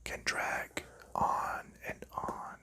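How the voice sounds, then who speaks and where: Man whispering a calm ASMR unboxing. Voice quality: soft unvoiced whisper. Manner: slow, calm, explanatory. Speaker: ASMR board game reviewer.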